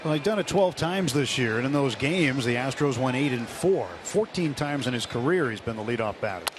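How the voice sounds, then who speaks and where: A man giving relaxed, explanatory color commentary on a radio baseball broadcast. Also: medium pitch, brisk. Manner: relaxed, explanatory analysis with fillers. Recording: radio broadcast with background noise.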